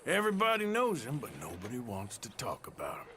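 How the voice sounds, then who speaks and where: Gruff cowboy outlaw over music. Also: male voice, mid-range voice, huge pitch swings, brisk. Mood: wry. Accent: American, rural Western. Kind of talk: cinematic video game acting. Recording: music behind the voice.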